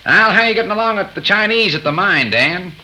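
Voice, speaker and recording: A man's voice: high voice, animated, fairly quick, pushed and bright, exaggerated voice. A man playing an easygoing, fair-minded frontier gambler with folksy phrasing in a 1930s radio drama. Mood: calm, candid. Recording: noisy 1930s radio recording.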